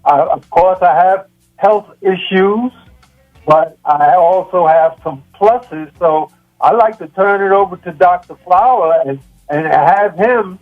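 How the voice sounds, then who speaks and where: A man in his seventies talking reflectively as a guest, in halting, deliberate phrases with long pauses, through muffled stream audio. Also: high-pitched, faint music behind. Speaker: guest, yoga practitioner. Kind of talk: reflective conversational talk.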